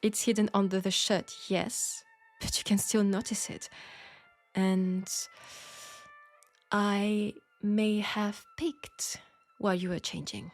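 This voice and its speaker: A woman playing a flirtatious, caring Parisian seamstress in an intimate steampunk audio roleplay, speaking with a French accent and a soft, hesitant delivery. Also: mid-range voice, level tone, slow, faint music behind, lightly acted. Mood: playful, teasing. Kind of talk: intimate scripted audio roleplay addressed to the listener.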